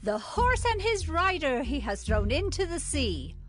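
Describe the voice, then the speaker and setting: A woman's voice: sits high, sing-song, measured pace, faint music behind. A woman playing a jubilant Hebrew prophetess, exultant in praise, in a dramatized scripture recording.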